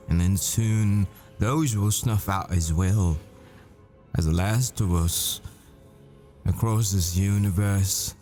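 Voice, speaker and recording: A man playing a grieving, devoted professor boyfriend at the world's end in an intimate audio roleplay, speaking in hushed, halting, brooding phrases. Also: sits low. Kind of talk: intimate ASMR boyfriend roleplay, dramatic monologue. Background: music.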